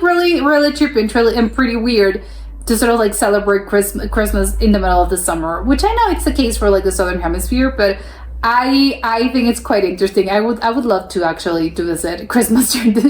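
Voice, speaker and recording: A woman's voice: fairly high pitch, echoey room. A woman reacting to clips in casual commentary, chatty with many fillers and restarts, laughing often. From United States. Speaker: reaction video host.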